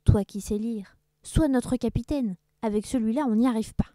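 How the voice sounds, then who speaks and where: A woman's voice: on the high side, normal speed, warm tone, no echo, close to an everyday voice. A woman voicing a group of fed-up male pirates in a children's story, pressing their request. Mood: insistent.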